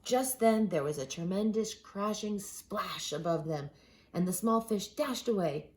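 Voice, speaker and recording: A woman reading a children's picture book aloud expressively, with dramatic pauses and drawn-out words for emphasis. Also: medium pitch, a little mellow. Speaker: children's storybook reader.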